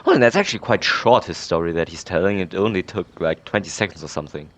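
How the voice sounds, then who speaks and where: A man's voice: low voice, expressive, fast, slight background noise. A man giving casual, rambling and reactive let's play commentary as a gaming streamer.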